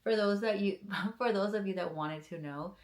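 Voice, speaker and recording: A woman's voice: mid-range voice, moderate pace, darkish tone. A woman in her thirties giving earnest, encouraging advice in a casual vlog, talking in loose runs with many fillers. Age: 30s. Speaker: police officer vlogger.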